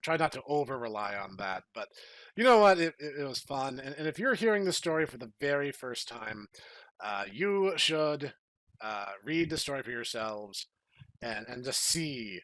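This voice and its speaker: A man's voice: mid-range voice, fairly bright tone, poor audio. A man hosting a podcast in casual chat, with a jokey, playfully theatrical way of phrasing things. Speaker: podcast host.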